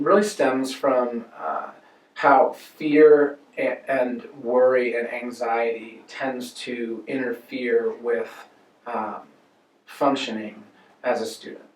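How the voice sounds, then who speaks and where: A man who works as a high school counselor, speaking in a documentary interview and pausing often with fillers. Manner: thoughtful, halting with many uh fillers. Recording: roomy, distant mic.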